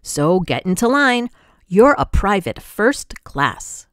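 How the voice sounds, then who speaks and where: A woman's voice: medium pitch, very animated, slow pace, a bit bright, no echo, studio quality. A woman voicing a blustering, pompous male army general who bellows his commands.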